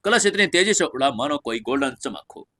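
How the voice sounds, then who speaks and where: A man's voice: medium pitch, lively intonation, brisk, bright, forceful tone. A man reading a scripted fantasy audio story as narrator, with emphatic, dramatic storytelling delivery.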